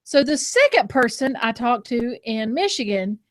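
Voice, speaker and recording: A woman's voice: on the high side, very animated, moderate pace. A woman, co-hosting a podcast and chattily telling casual anecdotes. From North Carolina, US South. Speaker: podcast co-host.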